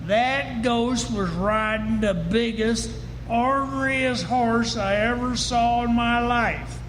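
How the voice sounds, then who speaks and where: A man plays an earnest, rattled frontier rancher in an old-time western radio drama. His very high voice takes its time with folksy, emphatic phrases, ringing in a hall with some background noise. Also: slow, a bit bright, heavily acted. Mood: agitated, insistent. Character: earnest, rattled male frontier rancher, stubborn and plain-spoken. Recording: noisy echoey hall, live stage performance.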